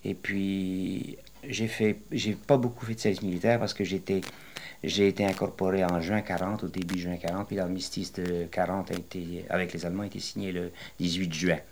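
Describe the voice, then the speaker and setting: An older man in his late sixties with a very deep, low voice recounts his working life for an interviewer. He wanders through his memories slowly and hesitantly, filling gaps with pauses and restarts. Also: level tone, slight background noise. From western France. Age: 60s. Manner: rambling, hesitant storytelling with many pauses. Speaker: retired interviewee. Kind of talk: oral history interview.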